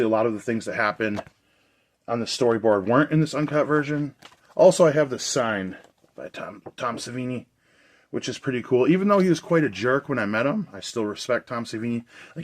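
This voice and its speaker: A young man with a slightly hoarse voice, chatting casually and enthusiastically as he reviews a movie release for his video channel. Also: mid-range voice.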